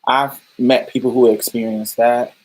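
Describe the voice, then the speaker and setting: A woman's voice: very deep voice, level tone, a little slow, a little mellow, low-quality recording. A woman hosting a podcast, explaining ideas in a loose, thoughtful way, with many fillers and restarts while talking to listeners. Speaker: podcast host. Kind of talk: casual livestream podcast talk.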